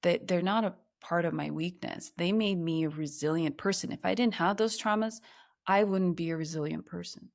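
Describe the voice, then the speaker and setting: Podcast guest: woman from Ukraine, thirties, slightly low voice, earnest, reflective storytelling. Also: creaky, a little mellow. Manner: reflective, earnest, slows down on emotional points. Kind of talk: personal storytelling in conversation.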